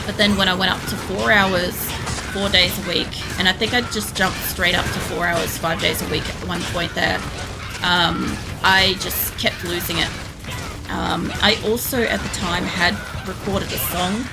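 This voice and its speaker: A young woman with a hoarse, worn voice, chatting casually and rambling while she streams a game at home, swearing now and then. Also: on the low side, low-quality recording. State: hoarse, losing her voice. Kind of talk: casual chat while playing. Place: home room. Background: game music.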